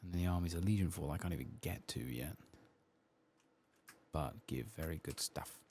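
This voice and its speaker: A man giving soft-spoken, sometimes whispered ASMR commentary as he plays a video game, muttering casually to himself. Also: low-pitched, moderate pace, slight background noise. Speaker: streamer playing a video game.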